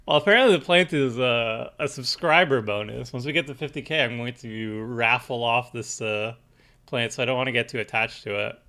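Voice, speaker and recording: A young man hosts a casual live stream, his voice bright and forward at a middle pitch. He rambles along with plenty of ums and restarts. A slight stuffiness from allergies sits in his voice. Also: brisk. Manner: rambling, many fillers and restarts, reads chat aloud. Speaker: streamer building a deck with chat.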